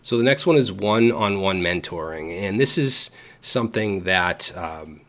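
A man with a Boston accent speaks in a deep, low register, chatting to his camera from a home studio. His delivery is relaxed and conversational, full of small fillers and restarts. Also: a little slow. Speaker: YouTube coding educator. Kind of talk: casual talk to camera. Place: home studio room with gaming chair.

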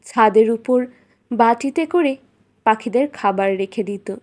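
A woman's voice: on the high side, measured pace, darkish tone. A woman reading a scripted fairy tale as the storyteller of a cartoon.

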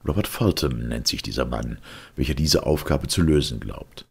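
A man narrating a literary story with solemn, theatrical weight and a gravelly, husky voice. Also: extremely low pitch, lively intonation, moderate pace, a little mellow. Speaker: audiobook narrator.

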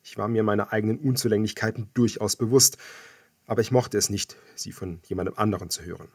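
A man reading scripted first-person thriller narration as an audiobook narrator, measured and dramatic. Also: fairly low pitch, a little mellow, husky, no echo, studio quality.

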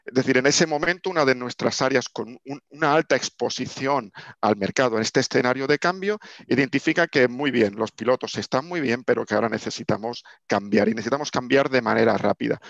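A man in his fifties presents at an online conference, his voice gravelly with a rough edge. He talks in a measured, halting way and often restarts his phrases. The webcam audio sounds dull and dry, with no room around it. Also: medium pitch, a bit bright, no echo. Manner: measured, with many restarts and hesitations. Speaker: conference speaker. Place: home office over a video call. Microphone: video call webcam mic.

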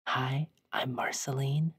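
A woman podcast player introducing herself in a hushed, whispery ASMR style. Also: a bass voice, flat delivery, slow pace, husky, no echo.

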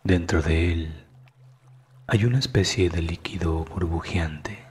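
A man narrating a scripted guided meditation in a calm, soothing manner that is hushed at times. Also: low voice, slow pace, slightly rough.

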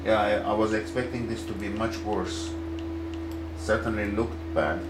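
A man giving a casual workshop demonstration, talking in a relaxed, halting way with many filler pauses, recorded on a camera mic in a home workshop. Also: fairly low pitch, loud music behind the voice. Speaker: hobbyist machinist. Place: home workshop with running lathe. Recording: loud machine noise behind.

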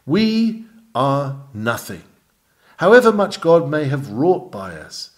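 A man reading a sermon aloud in a measured, formal and emphatic oratorical style. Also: fairly high pitch, sing-song. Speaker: reader of a printed sermon. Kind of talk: read-aloud sermon, preaching delivery.

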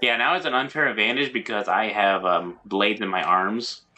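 A man's voice: lowish voice, fairly even intonation, normal speed, bright, forceful tone, low-quality recording. A man chatting casually with friends while playing a video game, rambling and narrating his moves as he goes. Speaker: gamer. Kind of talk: casual gaming chat.

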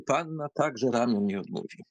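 An actor playing a carefree, mocking young count in a dramatised audiobook, with an ironic, theatrical way of talking. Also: male voice, mid-range voice, expressive, moderate pace, no room sound. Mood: teasing, ironic.

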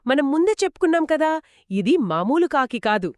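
A woman's voice: high voice, very animated, fairly quick, a little mellow, no echo, studio quality. A woman telling a children's story conversationally, engaged and dramatic as she speaks to young listeners.

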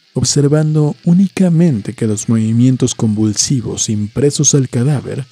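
A man reading a scripted horror story in a measured, literary storytelling manner. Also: medium pitch, dark tone, slightly rough. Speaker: narrator. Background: music.

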